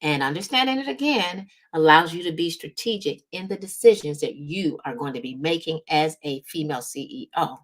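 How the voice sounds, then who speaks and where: A woman's voice: low voice, animated, a little fast, hardly any pauses. A woman hosting a motivational business talk as a strategist, conversational and emphatic, often checking in with short tag questions. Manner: conversational, emphatic repetition, frequent check-in tags like right and okay. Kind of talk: motivational business coaching talk to online audience.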